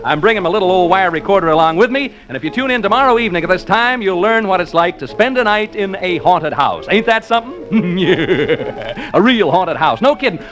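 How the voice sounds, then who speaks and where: A man's voice: high-pitched, animated, brisk, hardly any pauses, fairly bright tone, soft background music. A man acting a jokey, folksy American radio host turned ghost hunter, in 1940s radio drama style with theatrical emphasis. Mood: showy, excited. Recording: noisy old radio show recording.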